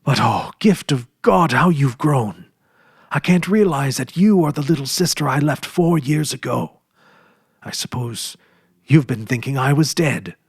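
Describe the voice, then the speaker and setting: A hearty young frontier brother, home at last after years away, talks in an easy, rolling way in a storybook reading, as a man puts him on. His voice is slightly husky and lively in pitch, recorded close and dry. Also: measured pace, no echo, exaggerated voice. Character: adult brother, warm hearty prospector back home.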